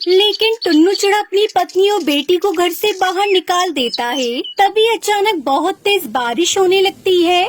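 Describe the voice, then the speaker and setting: A woman's voice: extremely high pitch, slight background noise. A woman narrating a children's cartoon story from a script.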